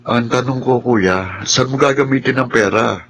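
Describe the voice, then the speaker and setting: Husky, weary Filipino breadwinner son. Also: male voice, mid-range voice, normal speed, fairly bright tone. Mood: incredulous. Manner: plain-spoken, long-suffering. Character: weary, put-upon hardworking son and breadwinner, resentful. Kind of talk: Filipino radio drama acting.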